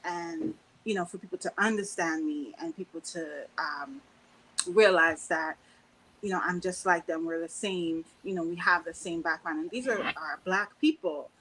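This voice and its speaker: A woman's voice: on the low side, moderate pace, fairly bright tone, husky, low-quality recording. A woman from Trinidad with a Trinidadian accent, a panel guest who talks thoughtfully with frequent ums and a light laugh. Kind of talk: conversational panel discussion.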